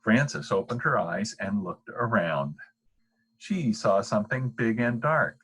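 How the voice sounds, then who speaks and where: Slow, expressive older man reading a bedtime story. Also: on the low side, breathy edge, poor audio. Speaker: storybook reader. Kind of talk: children's bedtime story narration.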